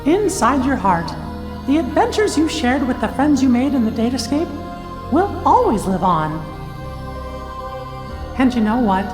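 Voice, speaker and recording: A small, cheerful cartoon mouse king who speaks in a high falsetto that bounces up and down. The tone is encouraging and warm. The sound is roomy with a long tail of reverb over loud music. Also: big pitch swings, a little slow, pauses often, heavily acted. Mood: reassuring. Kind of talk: game cutscene voice acting.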